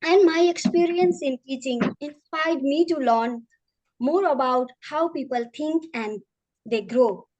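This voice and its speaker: A woman's voice: sits high, a little slow, darkish tone, dull audio. A woman from Nepal, a psychology student, giving an earnest, simple self-introduction on a video call.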